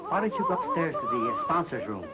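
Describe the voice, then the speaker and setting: A placating, wisecracking agency man in old film comedy style. He talks briskly in a slightly high male voice. The recording is muffled, with loud music behind. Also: huge pitch swings. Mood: coaxing. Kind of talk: 1930s film comedy banter.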